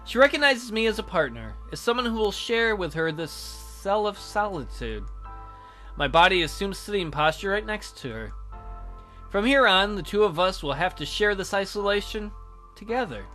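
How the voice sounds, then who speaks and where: High-pitched man, bright voice, theatrical game narration, let's play host, faint music behind. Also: expressive, normal speed. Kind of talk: dramatic read-aloud of a visual novel, with casual asides.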